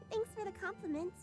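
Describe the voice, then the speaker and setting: A woman voicing a small, forgetful, polite creature girl in a game, with a sweet childlike voice and a naive, earnest manner. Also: very high voice.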